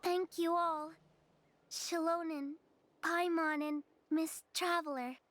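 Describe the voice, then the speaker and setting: An actress voicing a timid, earnest, sweet little child in a video game, speaking hesitantly. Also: female voice, very high voice, steady tone, takes it slowly, fairly bright tone, a little hiss or hum, exaggerated voice. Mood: shy, grateful.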